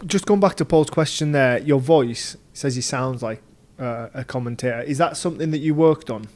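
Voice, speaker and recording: A man's voice: medium pitch, normal speed, darkish tone. A man hosting a podcast, asking a guest questions in a casual interview style. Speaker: podcast host.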